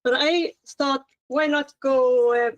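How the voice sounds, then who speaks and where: A woman's voice: sits high, fairly even intonation, slow pace, highs cut off. A woman helping on a live puzzle stream, speaking modestly and a little self-deprecatingly. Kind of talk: casual live-stream chat.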